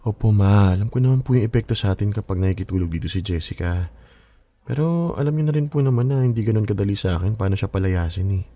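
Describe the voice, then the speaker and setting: A man's voice: fairly low pitch, quick pace, mellow, no room sound, lightly acted. Flustered young man, polite then exasperated, dry close studio sound, played by a man. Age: young adult. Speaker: son. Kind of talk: Filipino radio drama acting.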